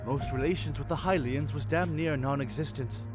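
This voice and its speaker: A man voicing a tall, excitable young fish-folk prince who tells tales in a grand, theatrical way, in a fan audio drama. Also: mid-range voice, moderate pace, close to an everyday voice. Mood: dramatic storytelling. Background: music.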